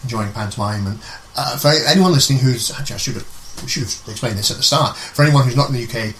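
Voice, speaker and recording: A man's voice: mid-range voice, fast, fairly bright tone, slight background noise. A man hosting a radio interview in a conversational way, often repeating and restarting his words as he frames his questions. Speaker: radio show host.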